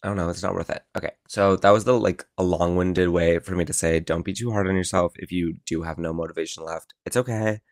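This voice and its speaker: A young man hosting a casual solo podcast from his room, rambling and encouraging, with lots of filler words and a croaky morning voice. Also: low voice, fairly even intonation, a little fast, hardly any pauses, no room sound, clean studio sound. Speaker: podcast host. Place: his own room at home.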